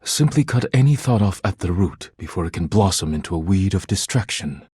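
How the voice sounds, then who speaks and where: An actor playing a solemn, formal artisan in a video game, a romantic man who speaks in measured, poetic sentences. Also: sits low, animated, darkish tone, slightly rough, no echo, clean studio sound, full character voice. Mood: encouraging, earnest. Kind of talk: video game character dialogue.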